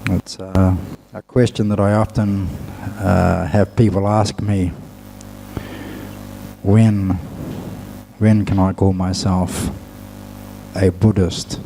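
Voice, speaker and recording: A man giving a Buddhist talk as a monk and teacher, speaking in a measured, halting way with long pauses. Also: low-pitched, flat delivery, vocal fry, dark tone, slight background noise, low-quality recording. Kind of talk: dhamma talk to an audience.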